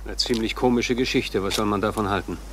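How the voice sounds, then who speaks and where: Crime radio play: male actor as musing police assistant, quick delivery, faintly noisy old recording. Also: on the low side, steady tone, a bit bright, lightly acted. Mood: curious. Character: inquisitive police detective assisting his chief. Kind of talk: German crime radio drama acting.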